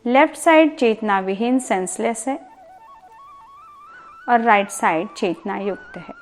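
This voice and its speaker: Slow-paced woman guiding sleep meditation. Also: a little high, faint music behind. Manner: calm, soothing, deliberate instruction with pauses and repeated words. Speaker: yoga nidra meditation instructor.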